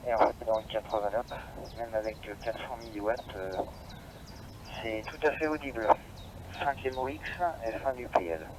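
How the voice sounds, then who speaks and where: A man's voice: mid-range voice, moderate pace. A man heard over a radio receiver, making an amateur radio contact. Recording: radio reception with faint outdoor noise.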